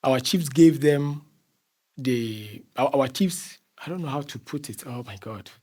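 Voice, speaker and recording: A Ghanaian man with a middling pitch talks as a guest in an interview. He explains things in a conversational way, often restarting and repeating phrases while he looks for the right word. His accent is Ghanaian. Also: a little mellow, slightly rough. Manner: halting, repeats phrases while searching for words.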